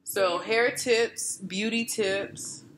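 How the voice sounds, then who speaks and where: A woman's voice: mid-range voice, fairly even intonation, drawn-out words, noisy background. A woman, chatting casually and rambling with lots of fillers in a home vlog, recorded on a laptop webcam in a living room. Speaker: vlogger and boutique owner. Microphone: laptop webcam at close range.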